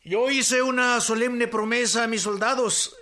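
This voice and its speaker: A man playing a fiery revolutionary general, giving a halting, emphatic speech in a radio drama. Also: very high-pitched, fairly even intonation, sharp tone, full character voice. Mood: solemn, proud.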